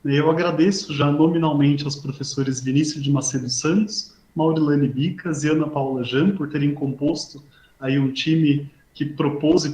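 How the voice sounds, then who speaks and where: Higher-voiced Brazilian man hosting, echoey room. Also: darkish tone. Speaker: host, university professor introducing an event. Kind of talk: semi-formal event introduction. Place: indoors, online video call. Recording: roomy, echoing voice on online stream.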